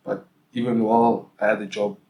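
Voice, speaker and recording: Man, young adult, fairly low pitch, relaxed guest, echoey room. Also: a little fast. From South Africa. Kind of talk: casual interview.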